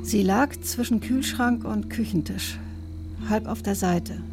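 A woman acting a no-nonsense emergency doctor in a radio drama. She recounts things in a dry, clipped, wry way at a middle pitch, with faint music underneath. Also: a little slow, lightly acted. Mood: sober, matter-of-fact. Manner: matter-of-fact, clipped, wry. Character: brusque, sardonic woman emergency doctor giving testimony. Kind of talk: German radio drama, dry first-person narration.

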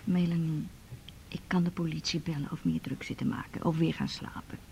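A woman playing a weary, firm mother in a naturalistic radio drama, speaking in a hushed, curt way. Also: sits low, fairly even intonation, fairly quick, creaky, slight background noise. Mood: stern, hushed. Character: weary, firm mother hushing her daughter at night.

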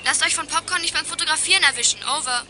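A woman's voice: high voice, rapid-fire, sharp tone, soft background music. A woman playing a spirited girl detective who gives telegram-style radio reports in a German youth radio play. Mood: dreamy, brisk.

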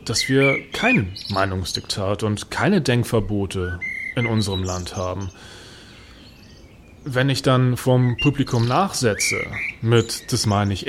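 A man's voice: medium pitch, noisy background. Smug, self-justifying man, late thirties. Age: late 30s. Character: self-righteous male singer-songwriter turned state informer, late thirties. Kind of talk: satirical radio play monologue and dialogue.

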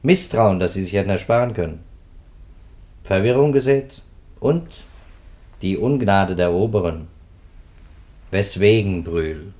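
Radio drama part: determined detective, fairly low voice, clipped, sardonic, rhetorical. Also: male voice, unhurried, long pauses, darkish tone. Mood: determined reproach. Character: hard-nosed male police investigator, sardonic and determined. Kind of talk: 1950s German radio play acting.

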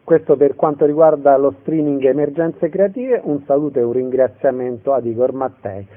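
A man's voice: mid-range voice, normal speed. A man hosting a radio interview, introducing and thanking guests. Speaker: radio host. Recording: narrow, lo-fi phone-like sound.

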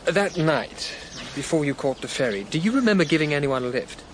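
An actor playing a measured, tactful male family lawyer, speaking formally and carefully in a radio drama. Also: a little high, animated, noisy background, natural voice. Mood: careful, probing. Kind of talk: naturalistic radio drama acting.

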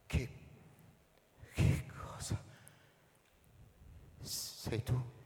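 An actor playing a stern, duty-bound, suspicious rocket captain in a radio drama, theatrical in delivery. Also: male voice, darkish tone, whispered. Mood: stunned. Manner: theatrical, halting when astonished. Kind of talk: live radio drama acting. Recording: reverberant hall, faint music behind.

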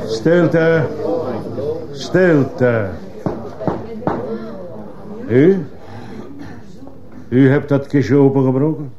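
An actor playing a stern court judge in a radio drama, formal and authoritative. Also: male voice, mid-range voice, extremely slow, long pauses, crowd noise. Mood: commanding. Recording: muffled old radio play, faint noise.

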